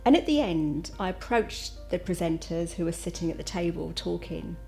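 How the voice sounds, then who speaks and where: A woman's voice: on the low side, darkish tone. A woman speaking candidly and reflectively in a corporate testimonial.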